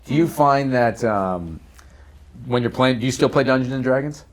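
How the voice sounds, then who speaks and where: A man's voice: mid-range voice, moderate pace. A man hosting a casual group interview, asking questions in a conversational way. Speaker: host.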